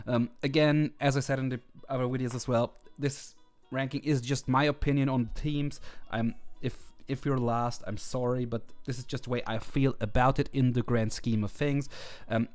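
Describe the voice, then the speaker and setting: A man hosting a video, talking casually with many fillers and restarts, his voice slightly hoarse. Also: medium pitch, fairly even intonation, moderate pace, few pauses, darkish tone. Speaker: video host ranking teams. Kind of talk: casual commentary. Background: faint background music.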